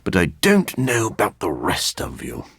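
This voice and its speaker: An actor playing a brooding, scholarly vampire in a video game, speaking formally with dry wit in a low, husky voice. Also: male voice, wildly swooping pitch, normal speed, fairly bright tone, dry recording, heavily acted. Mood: measured, grave.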